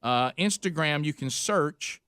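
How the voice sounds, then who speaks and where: A man's voice: fairly high pitch, expressive, unhurried, sharp tone, clean tone, no room sound, clean studio sound. A man hosting a radio talk show in easy, chatty banter. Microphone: studio microphone.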